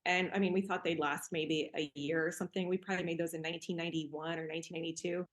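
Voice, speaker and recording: Woman, 40s, grew up in Cameroon, relaxed podcast guest sharing memories, conversational with fillers. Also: lowish voice, fast talker. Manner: warm, easygoing, with fillers and laughs. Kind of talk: casual reminiscing.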